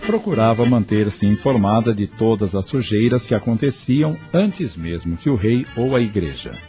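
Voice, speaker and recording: A man's voice: on the low side, expressive, normal speed. A man with a Brazilian accent reading scripted narration for an audio novel. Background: music.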